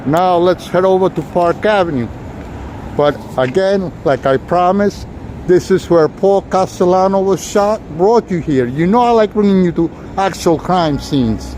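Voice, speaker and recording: A man giving a rambling, casual walking tour to his viewers while walking down a city street. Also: sits high. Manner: chatty, rambling, talks to viewers. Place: outdoors on a busy city street. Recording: noisy street recording. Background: street traffic noise.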